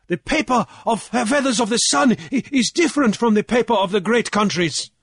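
Story objector voiced by a male narrator: flustered yet stubborn, halting delivery, high-pitched and slow. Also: big pitch swings, fairly bright tone, slightly rough, no room sound, studio quality, full character voice. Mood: protesting. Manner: halting, spluttering, slow and insistent. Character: flustered, halting, dogged man challenging the chancellor.

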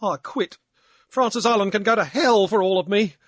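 A man reading aloud and voicing a young male drunkard who whines and blusters in a shrill voice. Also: moderate pace, fairly bright tone, no echo, clean studio sound. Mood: outraged. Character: young male drunkard, sulky then defiant. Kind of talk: audiobook character voice.

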